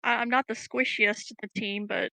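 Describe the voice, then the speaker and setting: A woman's voice: on the high side, steady tone, normal speed, pushed and bright, dry recording, rough recording. A woman playing in a tabletop roleplaying game, chatting casually, giggly and thinking aloud. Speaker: player.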